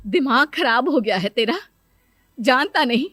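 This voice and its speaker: A woman voicing a scheming, possessive, sharp-tongued mother in a cartoon moral story. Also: high voice, lively intonation, a little slow, exaggerated voice. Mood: indignant, scornful. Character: scheming, possessive elder sister-in-law and mother.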